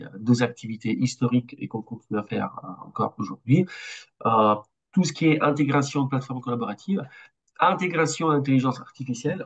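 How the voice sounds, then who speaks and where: A man in his forties from Italy with an Italian accent, a bit ill, presenting a webinar as a consultant and speaking haltingly with many hesitations. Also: medium pitch, slightly rough, muffled recording. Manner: halting, with frequent hesitations and restarts. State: slightly ill.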